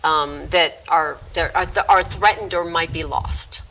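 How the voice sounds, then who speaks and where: Bright, lowish female news anchor. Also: unhurried, slight background noise, poor audio. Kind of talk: live ad-libbed news anchoring.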